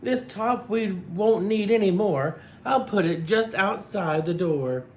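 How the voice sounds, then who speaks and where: Man doing a high-voiced brisk mama bear. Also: a little mellow. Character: brisk, practical storybook mother bear, female.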